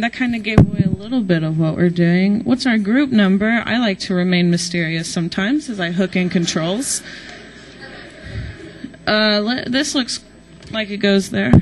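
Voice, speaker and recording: A woman's voice: mid-range voice, unhurried, long pauses, a little hiss or hum, low-quality recording. A young American woman speaking informally and chattily to an auditorium as she improvises a casual introduction. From Nashville, Tennessee, United States. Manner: chatty, informal, peppered with y'all. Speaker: student presenter introducing a group.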